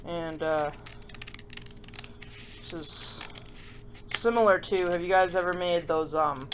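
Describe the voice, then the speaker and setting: A woman's voice: on the low side, fairly even intonation, slow, background noise. A woman hosting a children's craft tutorial in a casual, chatty way, with playful self-deprecating asides and frequent check-in questions to viewers. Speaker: host of a children's craft show.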